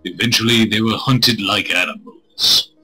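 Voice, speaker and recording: A man streaming a visual novel, reading it aloud with crude, irreverent joking asides, in a husky voice. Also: on the low side, steady tone, measured pace, sharp tone, rough recording. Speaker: game streamer. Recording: music playing behind the voice.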